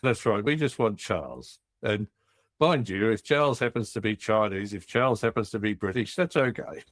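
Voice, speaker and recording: A man's voice: lowish voice, expressive, moderate pace, a bit bright, no room sound, clean studio sound. A man hosting a business podcast, interviewing in an upbeat, jovial way. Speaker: podcast host. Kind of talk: casual interview chat.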